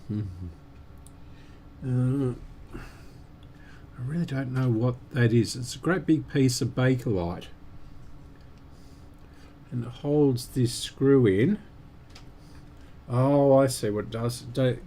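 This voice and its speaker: A man giving unscripted, halting commentary as host of a repair stream, muttering to himself while he works. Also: mid-range voice, mellow, a little hiss or hum.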